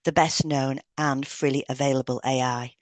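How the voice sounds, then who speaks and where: A woman's voice: rumbling low, fairly even intonation, moderate pace, no room sound. A woman co-hosting a podcast, reading explanatory material in an instructional way with informal asides. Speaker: podcast co-host, hypnotherapist.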